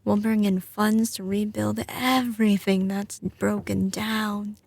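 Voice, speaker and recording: Woman acting a slow, creaky Southern mafia girlfriend. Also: mid-range voice, flat delivery, darkish tone, no room sound. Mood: determined. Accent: slight Southern US drawl. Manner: tender, slow and assured, often dropping to a whisper. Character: doting, confident young female mafia heiress, devoted girlfriend. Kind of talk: intimate ASMR audio roleplay.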